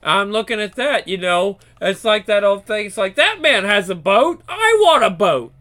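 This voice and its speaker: A man's voice: high-pitched, sing-song, normal speed, pushed and bright. A man in his thirties hosting his own channel, talking bluntly and profanely in a rambling casual chat with many restarts. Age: 30s. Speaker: YouTube channel host.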